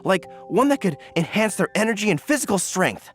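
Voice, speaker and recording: A man voicing an earnest, modest young army general in a video game, boyish and a little awkward and excitable. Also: high-pitched, a little fast, breathy edge, faint music behind, exaggerated voice. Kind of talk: video game cutscene acting.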